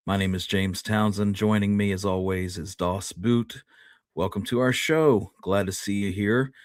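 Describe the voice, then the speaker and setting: A man's voice: fairly low pitch, fairly even intonation, measured pace, dry recording, studio quality. A man hosting a show, talking in a casual, chatty style. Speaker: podcast host. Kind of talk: casual chat.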